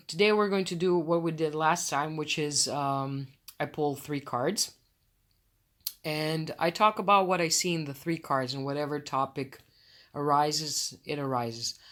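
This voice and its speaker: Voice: mid-range voice, a bit bright. Croatian accent, friendly, effusive, casual solo podcast talk, tarot reader. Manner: effusive and friendly, a little self-conscious.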